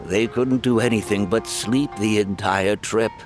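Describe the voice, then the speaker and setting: A man's voice: on the low side, steady tone, unhurried, music in the background, lightly acted. A man voicing a courteous, obliging restaurant server in a video game, polite and helpful in manner.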